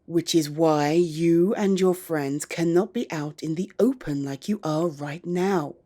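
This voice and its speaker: A woman voices a stern werewolf elder on the council, her voice on the lower side for a woman. She speaks firmly and now and then sinks to a near whisper. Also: a little slow, dark tone. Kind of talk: amateur audio drama acting.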